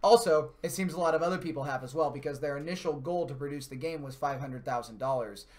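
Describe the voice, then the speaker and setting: A man's voice: a little high, fast, a little mellow. Enthusiastic, rambling man chatting about games. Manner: enthusiastic, gushing, loosely rambling. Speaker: host of a video game channel's live stream. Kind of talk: casual gaming chat.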